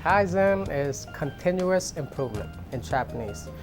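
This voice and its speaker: A woman's voice: low voice, expressive, normal speed. A woman from Cambodia, a chef and restaurant owner, speaking earnestly in an interview. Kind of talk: interview to camera. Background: music.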